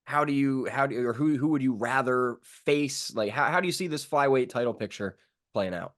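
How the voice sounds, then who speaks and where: A man works as a sports show host, interviewing in a loose, informal way. His voice is medium in pitch. He uses plenty of slang and lets his questions ramble. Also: brisk, fairly bright tone. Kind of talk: casual interview.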